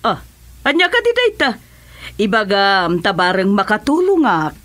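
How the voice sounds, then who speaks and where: A woman's voice: medium pitch, huge pitch swings, moderate pace, fairly bright tone. A woman with an Ilocano accent playing a bossy, exclamatory female village captain in a radio comedy drama. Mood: concerned. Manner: exclamatory, scolding.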